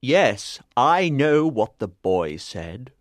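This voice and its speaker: A woman's voice: extremely low pitch, sing-song, no echo, clean studio sound, pitched below the performer's own voice, exaggerated voice. A woman voicing a stern, knowing old male wizard in a storybook, speaking in a solemn, pronouncing way. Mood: grave, solemn. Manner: slow, grave, pronouncing. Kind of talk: storybook character voice.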